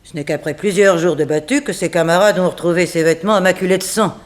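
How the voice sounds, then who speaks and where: A woman playing a composed, unsentimental figure in a 1960s French radio mystery, telling things dramatically with weighted pauses. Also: on the low side, natural voice. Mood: dramatic. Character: composed, unsentimental woman recounting a family tragedy. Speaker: actress in a radio serial.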